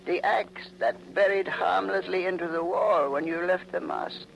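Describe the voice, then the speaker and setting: An actor playing an old, mysterious servant in a 1940s radio mystery, speaking formally and cryptically with soft menace. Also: male voice, sits high, fairly even intonation, vocal fry, slight background noise, poor audio. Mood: ominous, servile. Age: older. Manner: formal, slow, cryptic speech. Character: bony old mysterious servant mystic, softly ominous and servile.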